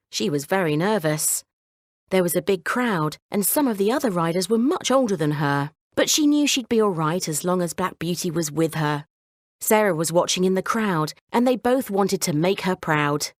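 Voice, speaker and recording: A woman narrating a scripted children's bedtime story in a measured, clearly phrased way. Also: fairly low pitch, dry recording, studio quality.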